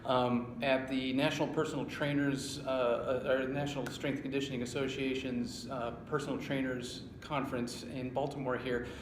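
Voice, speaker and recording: A man acting as interviewer, giving a spoken introduction in a halting, hesitant way. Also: level tone. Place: indoor room at a conference. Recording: roomy echo, clear background rumble and noise.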